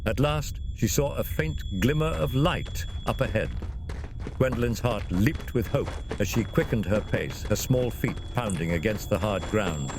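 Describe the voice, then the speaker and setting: A man's voice: fairly low pitch, lively intonation, unhurried, background noise. A man narrating a fantasy story in a dramatic, theatrical and suspenseful scripted style.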